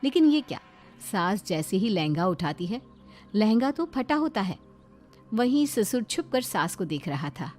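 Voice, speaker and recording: A woman's voice: medium pitch, very animated, unhurried, darkish tone. A woman reading a scripted narration of a family moral story. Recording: faint background music.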